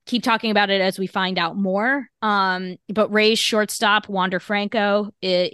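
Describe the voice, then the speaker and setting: A woman co-hosting a casual podcast, talking in a halting, measured way with many fillers and restarts. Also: mid-range voice, fairly bright tone, smooth, no room sound, clean studio sound. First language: English. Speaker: podcast co-host.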